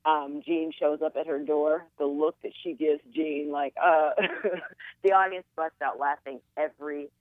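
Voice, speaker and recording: A woman guest actress chatting in a radio interview, bubbly and quick to laugh. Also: low-pitched, fairly even intonation, no echo. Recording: tinny phone line.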